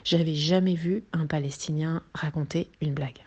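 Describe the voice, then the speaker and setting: A woman's voice: sits low, steady tone, normal speed, warm tone, breathy edge, highs cut off. A woman filmmaker answering interview questions in a thoughtful, hesitant way, with many fillers.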